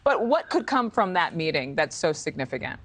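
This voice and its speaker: A woman's voice: deep voice, sing-song, brisk. An American woman anchoring television news, putting questions to a guest in a live interview.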